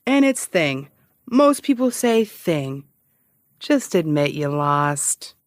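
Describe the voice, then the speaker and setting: An actress playing a cocky, sarcastic amateur investigator and broadcaster who teases and rambles defensively in an audio comedy-drama. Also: female voice, low-pitched, sing-song, slow, no room sound, clean studio sound, full character voice. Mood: smug, needling. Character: cocky, needling amateur investigator and radio broadcaster, adult woman. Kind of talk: naturalistic audio fiction comedy-drama acting.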